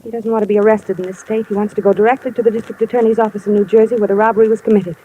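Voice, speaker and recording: An actress playing a secretive, insistent go-between in a 1950s radio crime drama, terse and guarded. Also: female voice, medium pitch, flat delivery, racing, warm tone, close to an everyday voice. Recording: noisy vintage radio recording.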